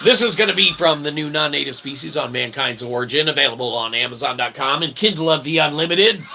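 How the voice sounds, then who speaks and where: A man's voice: fairly high pitch, lively intonation, fast talker, noisy background. A man hosting a show in a rambling, jokey, theatrical way with mock-formal wordy phrasing. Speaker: show host and author. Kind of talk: rambling show sign-off addressed to audience.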